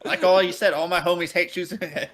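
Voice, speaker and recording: A man's voice: high-pitched, steady tone, a little fast, crowd noise. A man joking casually with friends over a game, with a teasing, crude sense of humour. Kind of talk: casual chat, gaming banter.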